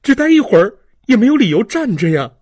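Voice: mid-range voice, very animated, fast talker, a little mellow, husky, no room sound, voice pushed up. A narrator voicing an indignant, insistent young woman in an audiobook, full of exclaimed questions. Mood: puzzled, insistent. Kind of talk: audiobook character dialogue.

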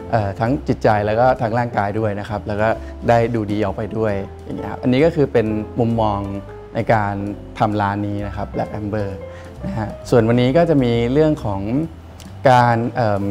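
A middle-aged man seated in a wood-panelled barbershop, explaining his approach slowly with many pauses and fillers. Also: lowish voice, darkish tone. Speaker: barbershop owner.

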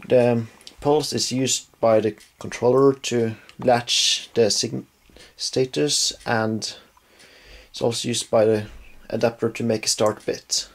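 Slow, halting young Norwegian man. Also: mid-range voice, level tone. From Norway. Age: young adult. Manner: halting, frequent fillers and self-corrections. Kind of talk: hobbyist electronics demo. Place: small home room with a desk and computer.

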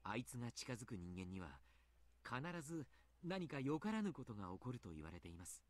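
An actor voicing a mild, polite, teasing doctor in a Japanese visual novel, speaking in a courteous, measured manner. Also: male voice, medium pitch, very animated, no echo. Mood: grave, confiding. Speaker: doctor and baseball coach character.

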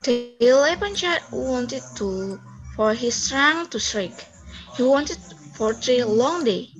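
Young female narrator, slow careful read, slightly high voice, dull video-call sound. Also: animated, music in the background. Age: young adult. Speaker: student narrator. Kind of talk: scripted story narration. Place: indoor room, video call. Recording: muffled video call audio.

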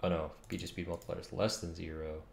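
Low, gravelly man thinking aloud. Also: little pitch movement, quick pace. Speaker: game developer streaming. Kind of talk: casual thinking aloud while coding. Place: indoor room at a computer. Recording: roomy with clear background noise. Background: keyboard typing and clicks.

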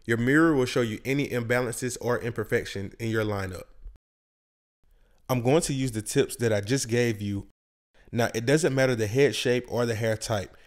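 A man's voice: mid-range voice, normal speed. A man narrating a scripted barbering tutorial in a plain, instructional way. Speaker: barber instructor and channel host.

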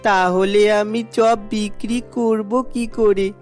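A woman's voice: medium pitch, steady tone, takes it slowly, a little mellow, faint music behind, close to an everyday voice. A woman voicing a poor, earnest little boy in a children's cartoon, with a plaintive, pleading manner. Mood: worried, sad.

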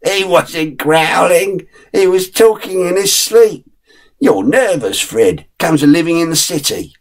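A man voicing an amused father teasing his nervous city son in a storybook, with animated delivery and a husky voice. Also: a little high, unhurried, pitched above the performer's own voice.

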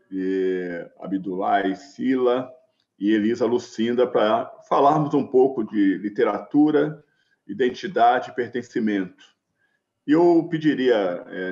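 A man with a Brazilian Portuguese accent moderating a literary panel over a video call, halting with "é" fillers. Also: lowish voice, darkish tone. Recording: dull lo-fi call audio.

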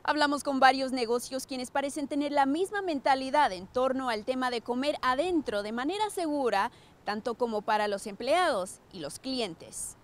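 High-voiced woman TV reporter. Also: expressive, normal speed. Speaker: TV news reporter. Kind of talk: scripted news report narration.

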